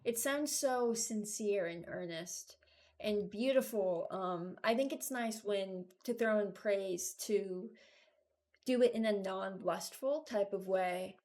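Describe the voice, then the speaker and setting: A woman giving informal, halting commentary as a video creator, thinking aloud while she ranks things. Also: medium pitch, a little mellow. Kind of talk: casual commentary, ranking game voice lines.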